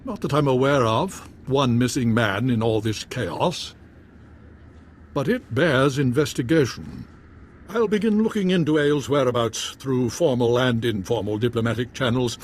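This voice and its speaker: An actor playing a courteous, diplomatic nobleman in a video game, speaking in a formal, deliberate, theatrical way. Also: mid-range voice, slight background noise, close to an everyday voice. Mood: courteous, grave. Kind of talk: video game dialogue acting.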